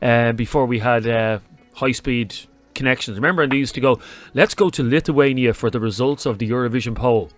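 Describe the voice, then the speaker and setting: A man's voice: medium pitch, a little fast, no room sound, soft background music. A man with a Dublin accent co-hosting a radio show, bantering casually in a teasing, incredulous manner. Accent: Irish, Dublin. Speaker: radio co-host.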